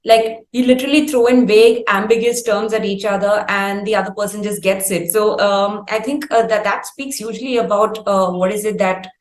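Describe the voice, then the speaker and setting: An Indian woman interviews a guest in a roomy, echoing space. Her phrasing is formal and wordy, and she builds her questions deliberately. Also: medium pitch, fairly even intonation. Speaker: interviewer, host. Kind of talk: conversational interview. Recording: roomy echo on voice.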